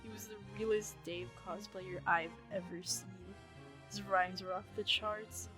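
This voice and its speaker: A woman's voice: mid-range voice, steady tone, unhurried, lightly acted. A woman playing an awestruck young convention-goer in a fan comic dub. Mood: dazed admiration. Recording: music behind the voice.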